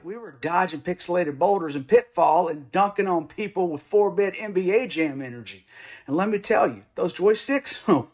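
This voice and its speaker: A middle-aged man hosting a show with a comedic, punchy monologue, animated and playful with slang. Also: high voice. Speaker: host of a Bible commentary show. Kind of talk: comedic scripted monologue with asides.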